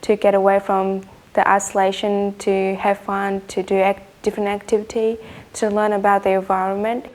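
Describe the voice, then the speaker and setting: A woman's voice: medium pitch, completely flat delivery, moderate pace. A woman from Burma, a community leader, speaking in simple, earnest phrases.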